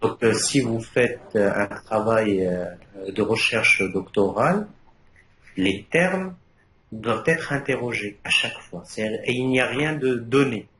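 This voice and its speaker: Older male academic, Arabic native, halting delivery full of fillers, recorded in a reverberant room with light background noise. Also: medium pitch, animated, a bit bright. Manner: hesitant, many fillers and restarts. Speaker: thesis jury member. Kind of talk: academic thesis feedback. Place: university defense room.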